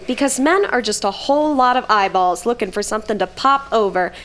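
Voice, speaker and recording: Noir drama sidekick, female performer: young woman, high-pitched, plain-spoken, chatty, fiercely loyal. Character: loyal, outspoken 21-year-old detective's gal assistant Minnie. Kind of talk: 1940s noir radio drama acting.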